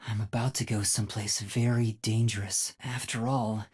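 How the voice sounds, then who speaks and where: An actor playing an earnest, timid young detective trainee in a video game, light and boyish, polite and hesitant. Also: male voice, on the low side, little pitch movement, normal speed, a little mellow, husky, no room sound, studio quality. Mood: somber, quiet. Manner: earnest, hesitant, polite, stammers when flustered. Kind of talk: video game voice acting, English dub.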